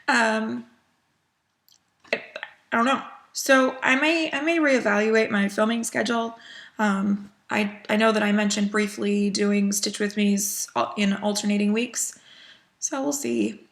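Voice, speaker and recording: Sniffly chatty woman with a gravelly voice. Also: mid-range voice, animated, measured pace, fairly bright tone. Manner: chatty with frequent ums and small laughs. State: sniffly from a cold. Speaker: cross-stitch vlogger. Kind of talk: casual chat.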